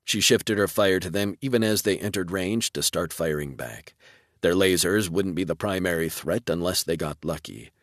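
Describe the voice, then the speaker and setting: Low-pitched man narrating military sci-fi. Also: moderate pace, no echo, studio quality. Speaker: audiobook narrator.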